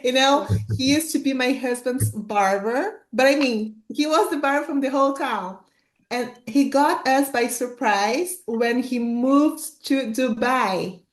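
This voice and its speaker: High-voiced woman host, echoey room. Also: a little slow. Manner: friendly, chatty introductions. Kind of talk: casual interview hosting.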